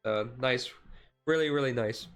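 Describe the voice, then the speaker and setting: Guy streamer doing chill asmr card commentary, medium pitch, kinda rambly and stop-start. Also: fairly bright tone, smooth, faint music behind. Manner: rambling, halting explanation. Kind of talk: casual card deck review commentary.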